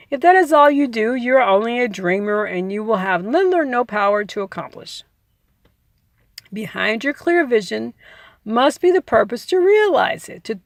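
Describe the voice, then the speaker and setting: A woman's voice: medium pitch, no room sound. A woman reading a book aloud in an emphatic, instructive way, repeating key phrases and adding informal asides. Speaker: audiobook reader.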